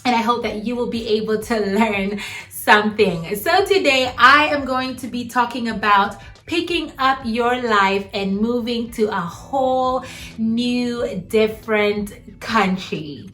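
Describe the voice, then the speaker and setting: A woman's voice: fairly high pitch, slow pace, fairly bright tone, soft background music. A young woman from Uganda talking earnestly to camera in a faith vlog, with small laughs between her phrases.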